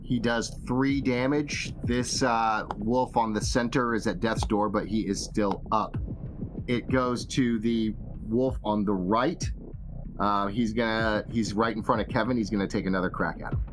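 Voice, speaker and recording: A man's voice: lowish voice, fairly even intonation, a little slow, low-quality recording. A man narrating a tabletop role-playing game as game master, casual and free with swearing. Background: music.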